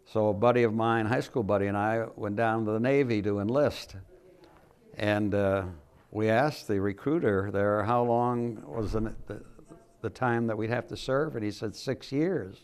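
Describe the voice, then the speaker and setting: A man's voice: lowish voice, moderate pace, darkish tone, clear, resonant tone. An elderly American man, a veteran, telling a story from memory in a studio interview. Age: 70s or older.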